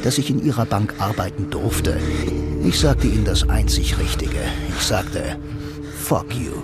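An actor playing a blind bank employee who narrates a radio drama in a wry, brooding, intimate way, speaking straight to the listener. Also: male voice, on the low side, expressive, measured pace. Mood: indignant, wry. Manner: musing, intimate, addresses the listener directly. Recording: loud music bed under the voice.

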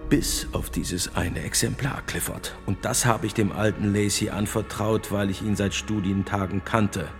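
Deep-voiced, stately older bishop played by a man. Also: fairly even intonation, moderate pace, lightly acted. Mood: grave. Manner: stately, commanding. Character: grave, authoritative bishop, benevolent yet calculating. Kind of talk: German radio drama acting. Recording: long reverberant hall. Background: music.

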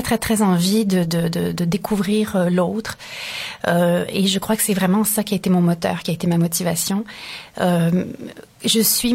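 Husky Canadian French woman, hesitant. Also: medium pitch, darkish tone. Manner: thoughtful, many hesitations and restarts. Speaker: radio station director, guest. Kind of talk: radio interview.

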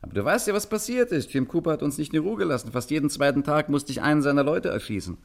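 An actor playing a suave, breezy gentleman gangster with big ambitions in a German radio crime satire. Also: fairly high pitch, expressive, fast, gravelly. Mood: breezy, mock-weary. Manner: suave, matter-of-fact about villainy. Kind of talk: 1950s German radio comedy crime drama acting.

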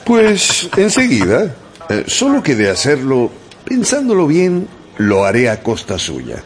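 A man playing an unflappable, precise English gentleman in a radio drama, formal, measured and self-assured. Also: medium pitch, huge pitch swings. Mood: confident, resolute.

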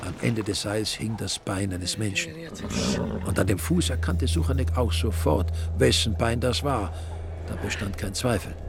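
A man narrating a suspenseful rural crime story in a scripted radio drama, with a creaky voice. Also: fairly low pitch, moderate pace, heavy background noise. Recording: music under the voice. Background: music.

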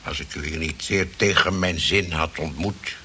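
Deep sharp-voiced grumpy chess rival, formal, blustery, bit hissy old radio recording. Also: male voice, normal speed, exaggerated voice. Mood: testy, dry. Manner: formal, hesitant, repeating words, blustering. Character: peevish, boastful male chess player, needling his rival. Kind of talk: radio play acting in Dutch.

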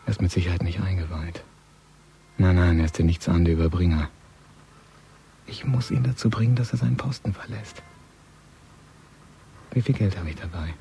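Cold criminal schemer, very low voice, clipped sneering inner monologue, radio play, prominent music behind. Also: male voice. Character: cold, scheming criminal man, contemptuous, calculating.

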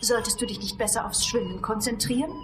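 A woman's voice: on the high side, fast talker, close to an everyday voice. An actress playing an insistent female journalist who presses with short, clipped questions in a German radio drama. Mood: probing. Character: probing, insistent female journalist, flirtatious at times. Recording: echoey room, music behind.

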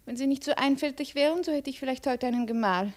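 An actress playing a proud, cold, mocking Chinese princess in a 1950s German radio play, imperious and deliberate. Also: female voice, high voice, lightly acted. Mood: weary, condescending. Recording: 1950s radio drama recording.

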